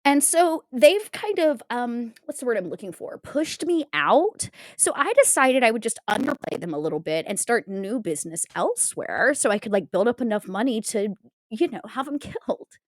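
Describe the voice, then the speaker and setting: A woman's voice: on the high side, very animated, moderate pace, dry recording. Woman playing a breezy vampire crime girl, swooping intonation. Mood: chatty, businesslike. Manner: valley-girl filler talk, breezy and self-assured. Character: flighty, vain, ruthless female vampire crime upstart. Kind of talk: improvised in-character roleplay dialogue.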